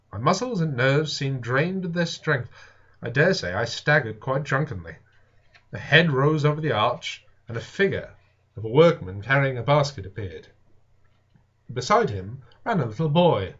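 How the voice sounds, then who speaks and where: A man reading a classic science fiction novel aloud as dramatic narration, with deliberate, expressive, theatrical phrasing. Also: mid-range voice, slightly rough. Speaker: audiobook reader. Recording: dull lo-fi home recording.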